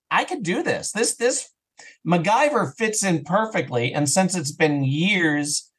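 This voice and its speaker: A man's voice: high-pitched, a bit bright, breathy edge. Enthusiastic man explaining his work. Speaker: podcast guest, audio drama creator. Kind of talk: casual, explanatory interview chat.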